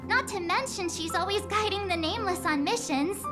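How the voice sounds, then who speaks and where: A woman's voice: very high voice, a little fast. A young actress playing a bubbly, teasing young woman who is a member of a train crew. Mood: proud. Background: game soundtrack music.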